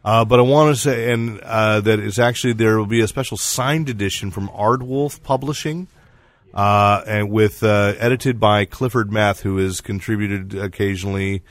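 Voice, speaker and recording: A man hosting a casual comics podcast, chatting in a halting, digressive way with some teasing banter. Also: on the low side, fairly even intonation, dry recording. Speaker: podcast host and editor-in-chief.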